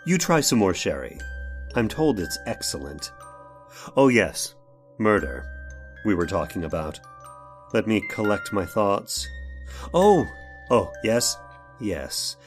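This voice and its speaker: A man's voice: lowish voice, very animated, takes it slowly, pauses often, soft background music. A man playing a fussy, evasive, hesitant workshop owner recounting a crime in an old-time radio horror drama. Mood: fussy, polite.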